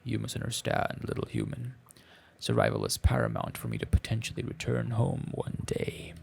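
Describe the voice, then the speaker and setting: A man voicing a menacing female forest monster in a visual novel, haughty and contemptuous, with a breathy, guttural voice and slow, formal speech. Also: lowish voice, very animated, a little mellow, slight background noise, full character voice. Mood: scornful.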